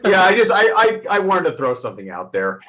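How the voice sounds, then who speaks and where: A man's voice: on the high side, very animated, brisk, a crowd in the background. Man chatting casually about movies. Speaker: film reviewer and podcast co-host. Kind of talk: casual chat reviewing a movie.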